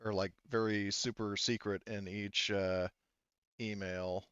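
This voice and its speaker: Male game master, deep-leaning and clean-toned, informal and profane, on a slightly dull voice chat. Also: flat delivery, takes it slowly, a bit bright, smooth, no echo. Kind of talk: casual chat running an online tabletop game.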